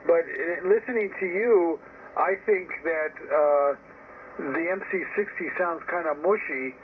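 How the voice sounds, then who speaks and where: Tinny radio-transmitted man's voice, fairly high. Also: a little slow. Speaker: amateur radio operator. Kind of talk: casual chat over ham radio. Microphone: received over ham radio. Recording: tinny narrowband radio transmission with static noise.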